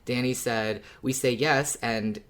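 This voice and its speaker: A woman's voice: very deep voice, fairly even intonation, takes it slowly. A woman co-hosting a fan podcast in a casual, conversational style. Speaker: podcast co-host.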